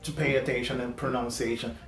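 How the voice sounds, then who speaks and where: Male host, native Portuguese speaker, accented English, mid-pitched, loose halting delivery, echoey room with soft music underneath. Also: little pitch movement. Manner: halting, many fillers and restarts. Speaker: host reacting to a video. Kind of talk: casual reaction commentary. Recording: roomy echo, faint music.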